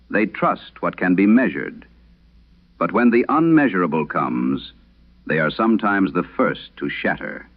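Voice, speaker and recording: A male actor as a haunted old Irish priest confessing in a horror radio play. His low voice is gravelly and grave, rising and falling dramatically as he speaks in measured, solemn phrases. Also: dry recording, heavily acted. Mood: grave, confessional. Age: older. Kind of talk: dramatic first-person horror radio monologue. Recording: dull lo-fi vintage radio sound.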